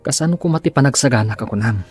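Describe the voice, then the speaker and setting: A man's voice: mid-range voice, lively intonation, rapid-fire, darkish tone, husky. Weary, sardonic male patient, over music. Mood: weary, querulous. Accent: northern Philippine. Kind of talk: radio drama acting.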